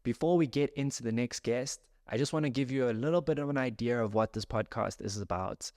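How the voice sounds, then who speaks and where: A man's voice: mid-range voice, brisk, a little mellow, no echo, studio quality. A man hosting a podcast, reading an upbeat intro and then chatting with his guest in a loose, filler-heavy way. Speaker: podcast host. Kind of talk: podcast intro and casual interview.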